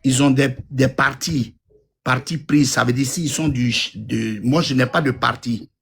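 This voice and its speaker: A man's voice: mid-range voice, steady tone, unhurried, gravelly, slight background noise. A man in his fifties from Côte d'Ivoire, calling into a live talk room and speaking bluntly and insistently. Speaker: caller. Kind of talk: live audio room call-in.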